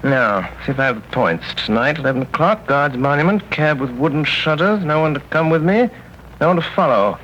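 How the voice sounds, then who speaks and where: A man playing a cool, witty, aloof gentleman detective in a 1930s radio drama, with clipped, dry delivery and drawling hesitations. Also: a little high, expressive, a little fast. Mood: dry, unhurried. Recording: muffled, hissy 1930s radio recording.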